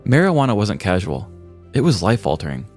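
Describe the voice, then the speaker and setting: A man's voice: on the low side, very animated, fairly quick, darkish tone, soft background music. An American man co-hosting a comedy podcast, telling stories with wry, dry humor. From American Midwest. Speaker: podcast co-host. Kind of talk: casual comic podcast talk and scripted storytelling.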